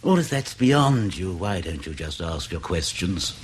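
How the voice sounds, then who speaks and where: A man's voice: low voice, big pitch swings, a little slow, slight background noise. An actor in radio drama playing a defensive spy in his late forties, curt, sardonic and guarded. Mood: bitter, resentful. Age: 40s. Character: bitter, defensive veteran British spy, aged forty-nine.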